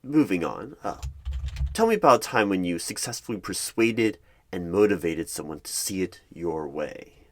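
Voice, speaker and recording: Low-voiced man asking questions, swinging intonation. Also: big pitch swings, moderate pace, fairly bright tone. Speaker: ASMR roleplayer as a hiring manager interviewing a candidate. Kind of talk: ASMR interview roleplay. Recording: clear background noise with keyboard typing. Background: computer keyboard typing and clicks.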